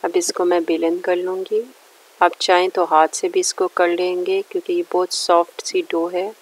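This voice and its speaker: A woman's voice: on the low side, little pitch movement, moderate pace, no echo, low-quality recording. A woman narrating a recipe step by step in a plain, instructional way. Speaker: cooking show host.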